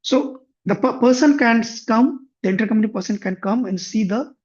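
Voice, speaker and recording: A man demonstrating finance software on a shared screen, thinking aloud with frequent restarts. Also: high voice, normal speed. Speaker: software instructor. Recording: muffled lo-fi computer mic.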